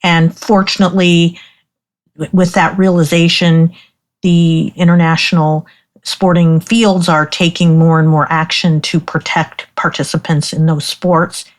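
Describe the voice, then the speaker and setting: Measured woman explaining, low voice. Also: level tone. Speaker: doctor, guest. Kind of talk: interview conversation.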